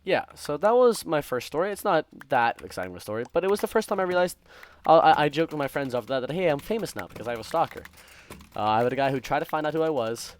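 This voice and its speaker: A man's voice: on the high side, lively intonation, fast talker, faint music behind. A young man casually telling stories while gaming, rambling and interrupting himself in a distracted way. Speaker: gaming content creator. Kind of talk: casual storytelling while playing a game. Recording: faint keyboard typing and clicking noise. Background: computer keyboard typing and clicks.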